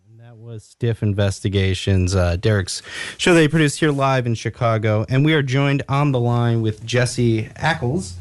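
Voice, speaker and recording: Man hosting radio show, medium pitch. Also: normal speed. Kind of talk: radio presenting.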